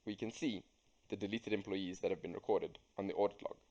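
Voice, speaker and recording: A young man with a low-pitched voice narrates a software walkthrough. He reads from a script, step by step, as a student presenting a system. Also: fairly even intonation, a little fast, highs cut off, a little hiss or hum. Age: young adult.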